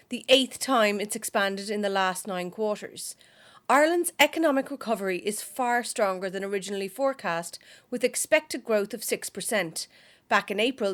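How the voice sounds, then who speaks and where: A woman acting a radio news presenter in a radio play, formal, measured and politely inquisitive. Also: fairly high pitch, close to an everyday voice. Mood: formal, crisp. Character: polished, probing female radio news presenter.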